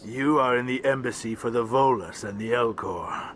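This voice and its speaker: A man's voice: mid-range voice, normal speed, a bit bright, a little hiss or hum, lightly acted. A man voicing a small, grumpy, aggrieved alien diplomat in a video game, curt and world-weary. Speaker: ambassador. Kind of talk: video game character dialogue.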